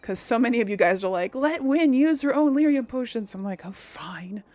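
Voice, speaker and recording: A woman's voice: fairly high pitch, lively intonation, moderate pace, a little mellow, no room sound. A woman giving casual gameplay commentary as the host of her series, informal and conversational, thinking aloud as she goes. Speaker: video game streamer, host of a role-play series.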